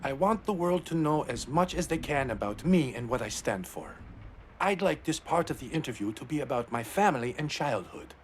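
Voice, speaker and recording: A man's voice: a little high, animated, normal speed, slight background noise, natural voice. A man voicing a composed, courteous and self-assured public figure in a video game. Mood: proud, assured. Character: composed, courteous male public figure proud of his homeland. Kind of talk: video game dialogue acting.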